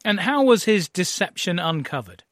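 A man presenting the news in a measured, formal way, reading reports and questioning a guest. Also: sits high, very animated, dry recording, studio quality. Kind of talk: broadcast news reading and interviewing.

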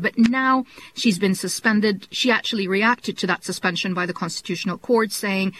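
A woman foreign correspondent giving a steady, explanatory news report. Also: mid-range voice, slight background noise, rough recording.

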